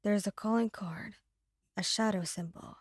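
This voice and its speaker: A woman voicing a level-headed young male mafia partner in an audio roleplay, measured and cautious. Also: medium pitch, husky, no room sound, clean studio sound. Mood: puzzled.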